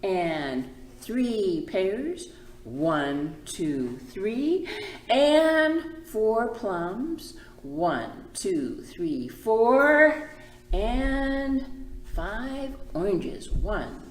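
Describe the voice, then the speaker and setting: Echoey, animated woman reading to children. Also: mid-range voice. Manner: expressive, simple phrasing for small children, drawn-out words. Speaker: children's librarian and storytime host. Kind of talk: children's storytime reading and teaching. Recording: echoey room.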